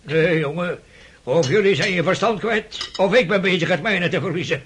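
An actor playing a cantankerous, opinionated old prince in a radio drama, husky and creaky, sputtering and repeating himself as he blusters. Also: high-pitched, normal speed, fairly bright tone, slight background noise. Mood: scornful, agitated. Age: older.

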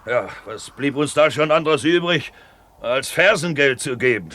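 An actor playing a grizzled, blustering grey-haired merchant captain in a radio drama, with a hoarse, salty, emphatic delivery. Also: male voice, mid-range voice, expressive, moderate pace, fairly bright tone, lightly acted. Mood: storytelling. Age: older. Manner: bawling, emphatic, salty storytelling. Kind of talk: 1970s German radio drama acting.

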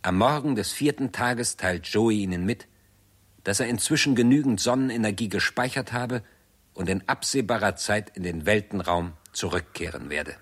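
An actor playing a sober, factual narrator in a scripted science fiction radio play. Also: male voice, on the low side, moderate pace, husky. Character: sober male chronicler of a science fiction story.

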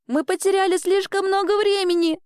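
A woman voicing an emotional, excitable and earnest young girl in a storybook. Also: very high voice, normal speed, dry recording, voice pushed up. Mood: alarmed.

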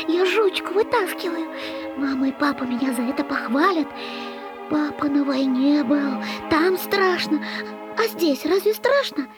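High, slow-speaking frightened little boy. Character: frightened little boy bravely reassuring himself. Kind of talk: audio drama acting. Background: music.

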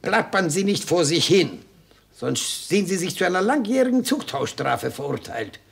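Creaky, genial old defence lawyer. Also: male voice, high-pitched, big pitch swings, moderate pace, a little hiss or hum, heavily acted. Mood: admonishing. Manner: courteous, savouring, expansive, admonishing. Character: elderly retired defence lawyer, genial, fussy, cautionary gourmet. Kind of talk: German radio play acting.

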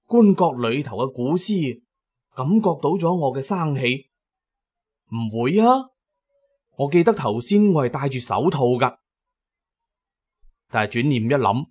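A man's voice: medium pitch, darkish tone. A man giving dramatic first-person narration as the narrator of an audio drama.

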